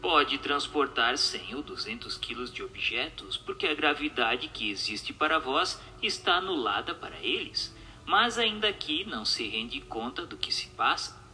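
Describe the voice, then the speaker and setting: A man's voice: medium pitch, very animated, unhurried, few pauses, pushed and bright, faint music behind, poor audio. A man doing a dramatized audiobook reading in a formal, declamatory manner. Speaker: narrator. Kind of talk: dramatized audiobook reading of questions, answers and notes.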